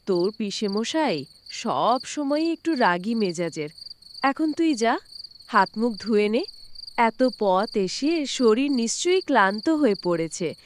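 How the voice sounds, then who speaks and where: A woman voicing a caring but scolding middle-aged ghost aunt in an animated horror story. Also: a little high, slow, a little hiss or hum. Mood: gentle, fussing.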